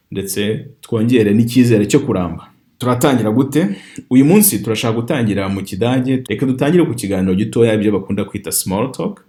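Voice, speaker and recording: A man from Rwanda, a native Kinyarwanda speaker, teaching an online language lesson and repeating phrases slowly for learners. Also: lowish voice, dark tone.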